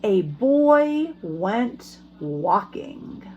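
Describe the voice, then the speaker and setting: Slow-paced woman teacher reading to children. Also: medium pitch, highs cut off, background noise. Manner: pauses to ask children questions; expressive storybook reading. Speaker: elementary school teacher. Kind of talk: read-aloud lesson for young children.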